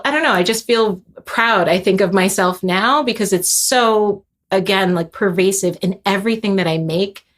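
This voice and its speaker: A young adult woman, a composer guest, speaking thoughtfully with many fillers and restarts. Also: fairly low pitch. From Haitian heritage. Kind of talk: reflective interview talk.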